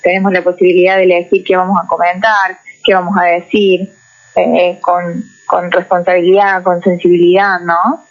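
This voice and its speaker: A woman psychologist, speaking as a guest in a radio interview, explaining in a measured way and often checking in with "¿no?". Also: lowish voice, little pitch movement. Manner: measured, explanatory, tags phrases with "¿no?". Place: radio studio. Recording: muffled lo-fi radio recording.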